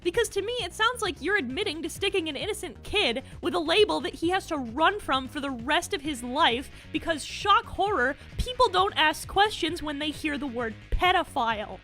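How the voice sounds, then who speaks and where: A woman's voice: high-pitched, lively intonation, normal speed, few pauses, bright, forceful tone. A young woman delivering scripted commentary as an emphatic, accusatory rebuke peppered with swearing. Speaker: video commentator. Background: background music.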